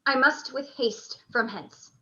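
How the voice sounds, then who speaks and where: A woman playing a torn male commander, declaiming gravely in a Shakespearean radio play. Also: high voice, takes it slowly, bright, forceful tone, muffled recording, full character voice. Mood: regretful, resolute. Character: Antony, grown male commander torn between love and duty.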